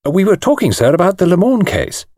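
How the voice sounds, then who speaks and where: A man's voice: sits high, wildly swooping pitch, brisk, darkish tone, no room sound, studio quality, voice pushed up, heavily acted. Man playing a deferential young lawyer. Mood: respectful. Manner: polite, respectful.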